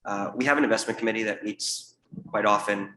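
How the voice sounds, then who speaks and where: A man's voice: fairly low pitch, a little fast, pushed and bright. A young man speaking on a panel in a candid, casual way, often repeating words. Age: young adult. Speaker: family office investor, panelist. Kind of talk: conference panel remarks. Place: conference room with live audience. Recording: echoey room, faint hum.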